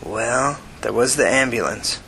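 A male reader performs a frail, sickly man from an audiobook story with a soft, folksy Southern drawl. He mumbles low and unhurriedly, tired and resigned. A faint noise sits behind the voice. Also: expressive, unhurried, creaky, heavily acted, poor audio. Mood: helpful, tired. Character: sickly thin weary man, slow and resigned.

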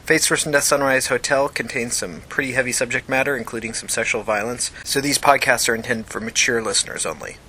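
A man reading fiction as a podcast narrator, in a measured, matter-of-fact scripted audiobook style. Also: medium pitch, fairly even intonation, rough recording.

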